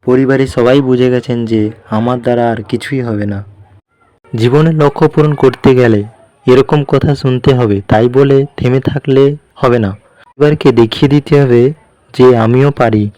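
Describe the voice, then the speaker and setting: A man's voice: mid-range voice, fairly even intonation, unhurried, dark tone, no echo, close to an everyday voice. A man voicing an idle, chatty young guy in a cartoon, talking casually as if with a friend. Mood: casual, earnest. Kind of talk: cartoon dialogue, casual phone chat between friends.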